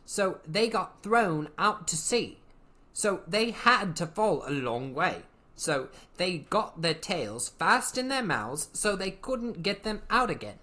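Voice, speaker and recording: A bossy, excitable gryphon from a storybook, acted out by a reader. The mythical beast speaks brashly and slowly, with big theatrical swings in pitch. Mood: bossy, matter-of-fact. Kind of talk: theatrical storybook character reading.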